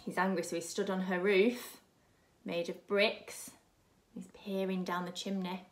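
A woman's voice: mid-range voice, level tone, measured pace. A woman in her thirties, a primary school teacher, reading expressively to young children. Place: small furnished room at home, indoors.

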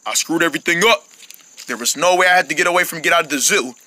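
A performer voicing a weary, jaded cartoon zoo animal, explaining things in an earnest, hurried way. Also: medium pitch, pushed and bright, slight background noise, voice pushed down, lightly acted. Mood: urgent.

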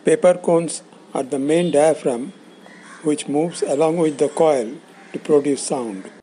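A man giving a scripted instructional narration in a measured, explanatory way, in short phrases. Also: fairly high pitch, warm tone, breathy edge, poor audio. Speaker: instructor presenting components.

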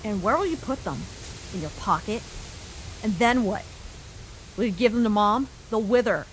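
A woman's voice: medium pitch, expressive, normal speed, a little hiss or hum, close to an everyday voice, rough recording. A woman voicing a bossy, smug human child in a comic dub, sardonic and teasing. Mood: impatient, scornful. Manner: sardonic, sassy.

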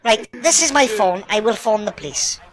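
A Welsh man rants at other players over game chat, his voice pitched high and swinging widely. He scolds in an indignant, sweary way. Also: lively intonation, moderate pace, a bit bright. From Wales. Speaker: gamer in online voice chat. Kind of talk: comedic in-game trolling rant.

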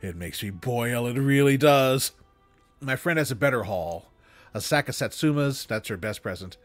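Young man, expressive story reader, mid-pitched voice, close dry microphone, faint music. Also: normal speed, dry recording. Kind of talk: expressive literary storytelling, read aloud. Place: small room at home, indoors. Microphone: close desk microphone.

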